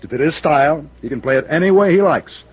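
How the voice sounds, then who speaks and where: Husky, vain, self-pitying radio-drama star. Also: male voice, fairly high pitch, lively intonation, quick pace. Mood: defiant. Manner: hesitant, pleading, then boastful. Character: self-pitying, vain male TV comedy star under death threat. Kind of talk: old-time radio drama acting. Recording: dull vintage radio recording.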